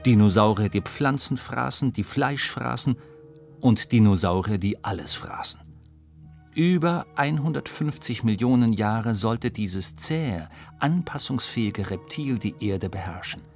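A man narrating a children's science audiobook in a dramatic storytelling style, with deliberate pauses and emphasis. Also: on the low side, expressive, a little mellow, soft background music. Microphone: close studio microphone, dry.

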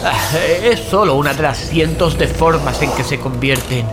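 An actor playing a grim, awed survivor at sea in a horror audio drama, speaking with dramatic, ominous deliberation. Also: male voice, a little high, normal speed, lightly acted. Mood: grim resolve. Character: grim, awed survivor steering a yacht from monsters. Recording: loud music over the voice.

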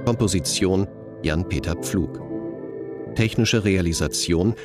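Very deep, slow-paced male announcer. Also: over music. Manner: formal, measured. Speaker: radio drama announcer. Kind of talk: scripted credits announcement.

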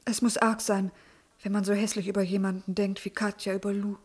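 Young sister female actor: soft-edged breathy voice at middle pitch, sensitive, naive, quick to take offence, radio play. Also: flat delivery, lightly acted. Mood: pitying, wistful. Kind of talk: German radio play acting.

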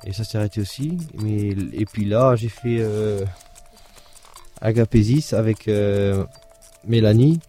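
Man with a Belgian French accent, rambling and repetitive, warm darker tone, faint music behind. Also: lowish voice, a little slow. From France. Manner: rambling, repeats himself. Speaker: composer of a duo. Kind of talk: casual interview answers.

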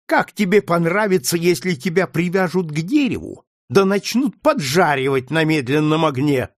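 A man voicing a cruel, brutal male plantation master who shouts and mocks. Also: fairly high pitch, sing-song, moderate pace, no room sound, studio quality, voice pushed up. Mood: menacing. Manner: harsh, shouting, mocking.

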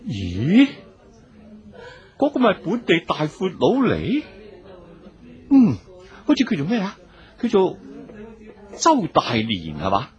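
Worldly boss role male actor: extremely animated intonation, brash, exclamatory, teasing, radio drama style. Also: fairly high pitch, slow pace, pauses often, muffled recording, full character voice. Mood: curious, boastful. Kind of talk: Cantonese radio drama acting.

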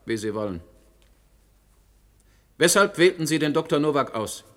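An actor playing a stern, dogged presiding judge in a radio play, questioning formally and with authority. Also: male voice, a little high, lively intonation, moderate pace, a bit bright. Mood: probing, stern. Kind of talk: German radio play acting. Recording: older radio recording with background noise.

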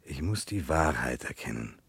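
An actor playing a troubled, guilt-ridden Russian prince in a German radio drama, brooding, earnest and formal. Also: male voice, very deep voice, animated, moderate pace, a bit bright, slightly rough, no echo, heavily acted. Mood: bitter self-reproach.

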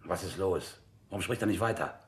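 A man's voice: low voice, a little fast, a little hiss or hum, close to an everyday voice. An actor playing an impatient, domineering military captain in a radio drama, commanding and sarcastic, barking his demands. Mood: irritated, impatient.

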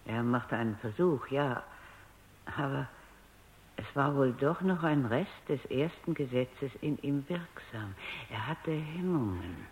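A woman's voice: a bass voice, animated, slow, a little mellow, husky, heavily acted, rough recording. A self-assured woman scientist in a radio play. She speaks with analytical precision, phrasing each thought like an expert. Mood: shaken, reflective. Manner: precise, lecturing, composed. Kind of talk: German radio drama acting.

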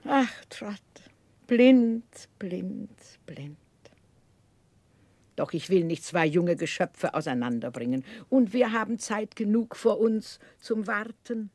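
An actress playing a blunt, sharp-tongued but tender-hearted older aunt in a 1960s German radio play, probing and exclaiming. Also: female voice, medium pitch, expressive, slow, dry recording. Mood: resigned, tender.

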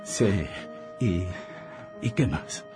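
Animated Argentine sidekick, music behind. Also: male voice, sits low, drawn-out words, full character voice. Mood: downcast. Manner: colloquial, interjecting, teasing. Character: wisecracking, naive working-class sidekick of a would-be actor. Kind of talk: comedic radio play acting.

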